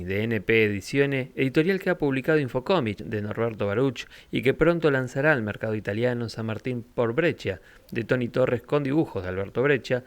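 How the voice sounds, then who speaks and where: Guy reading the podcast intro off a script, steady and measured, mid pitch. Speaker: podcast host. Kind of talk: scripted narration.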